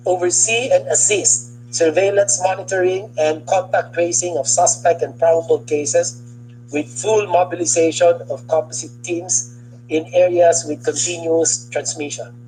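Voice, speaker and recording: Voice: mid-range voice, warm tone, rough recording. Filipino official reading formally over noticeable hum. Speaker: government official. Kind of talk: formal official briefing read from a document. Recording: clear background noise and hum.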